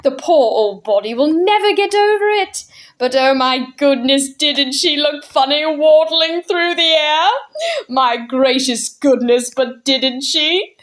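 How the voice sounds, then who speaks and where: Bubbly eccentric old gentleman, merry, high and bouncy voice, as a woman reads him aloud. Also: big pitch swings, measured pace. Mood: giggly. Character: jolly, eccentric older gentleman.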